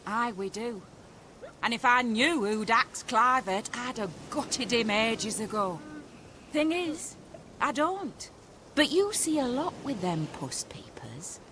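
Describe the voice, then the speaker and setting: A sharp, forceful female voice. It is a cocky, coarse fantasy game swordswoman, mocking and pushy. Faint noise sits behind her. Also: on the high side, sing-song, slow, heavily acted. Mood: insistent, vengeful. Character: brash, pushy sword-fighting ruffian woman, mocking and vengeful. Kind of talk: video game voice acting.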